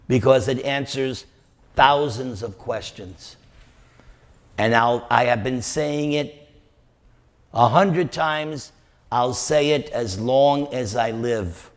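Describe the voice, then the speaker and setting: A man with a New York accent giving a devotional lecture to a congregation, stressing and repeating key phrases and putting questions to his listeners. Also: medium pitch. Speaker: preacher. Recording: long reverb of a hall.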